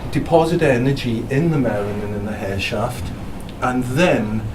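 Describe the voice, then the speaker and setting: A man's voice: mid-range voice, normal speed. A Welsh man, a physicist, giving a live presentation to an audience with anecdotes and dry self-deprecating humor. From South Wales. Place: hotel function room. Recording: distant mic with room noise.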